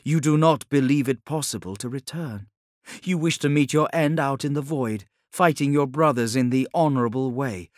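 A man's voice: medium pitch, normal speed, a little mellow, dry recording, studio quality, pitched above the performer's own voice, lightly acted. A man voicing an earnest woman counsellor in an audiobook, direct and insistent as she questions her lord. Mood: earnest realisation.

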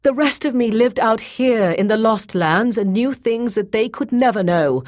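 Proud shapeshifter man played by a female reader. Raised, loud, husky, wildly imploring, fantasy audiobook. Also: on the low side, animated, normal speed, pitched above the performer's own voice. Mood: wounded, passionate. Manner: urgent, imploring, intense delivery. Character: proud, passionate male shapeshifter, pleading wildly.

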